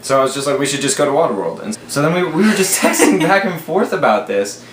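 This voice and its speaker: A man's voice: on the high side, sing-song, quick pace, a little hiss or hum. Young man storytelling in a roomy space. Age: 20s. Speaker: storyteller in a couple's vlog. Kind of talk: casual chat, telling a story. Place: small living room, indoors. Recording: roomy echo.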